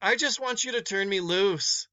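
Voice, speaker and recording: A man's voice: high-pitched, moderate pace, bright, forceful tone, no room sound, highs cut off, voice pushed up. A man voicing a frightened, frail teenage boy, meek, apologetic and pleading, in a read-aloud short story.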